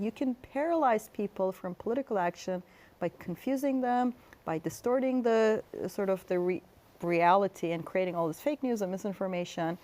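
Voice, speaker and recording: A woman's voice: medium pitch, a little mellow. A middle-aged woman from Turkey answering interview questions in a thoughtful, explanatory way, with fillers and restarts. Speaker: guest scholar.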